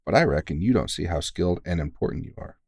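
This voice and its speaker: A low-pitched man performing as a battle-worn vampire hunter in an ASMR audio roleplay. He is tired but kind, reassuring a rookie with teasing, informal, sweary talk. The sound is dry, with very little room to it. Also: expressive, quick pace, gravelly, a little mellow, husky, no room sound, full character voice. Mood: fond, reassuring. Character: tired, kindly veteran male vampire hunter mentoring a rookie.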